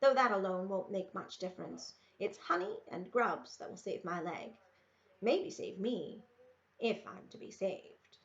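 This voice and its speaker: Lady reading as a sick old woods woman, gruff n blunt, storybook style, bit dull sounding audio. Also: medium pitch, lively intonation, a little slow. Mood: grave, matter-of-fact. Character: ailing old backwoods woman, gruff, sharp, proud.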